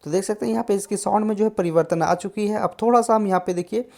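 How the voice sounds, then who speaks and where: A man hosting a casual tutorial on music software, explaining step by step and often adding short check-in tags. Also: high voice, fast, gravelly. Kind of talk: casual screen-recorded tutorial.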